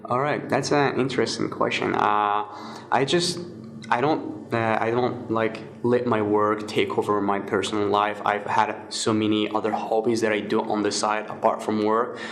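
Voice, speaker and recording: Twenties guy from Morocco, low steady voice, relaxed, filler-heavy interview answers. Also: moderate pace. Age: 20s. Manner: relaxed, many fillers and restarts. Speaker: guest, security researcher.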